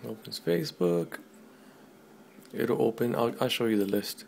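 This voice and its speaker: A man casually demoing an app on camera, joking and talking loudly to the device at his desk. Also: mid-range voice, lively intonation, a little slow, vocal fry, a little hiss or hum. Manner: offhand, joking, says commands loud to the device. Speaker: tech video host. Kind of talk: casual product demo. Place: small room at a desk.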